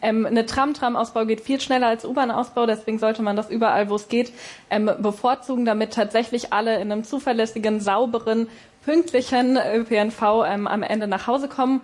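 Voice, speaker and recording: A woman answering in a political debate, pausing often on ums. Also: on the high side, fairly even intonation, a little mellow. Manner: frequent ums. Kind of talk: debate statement.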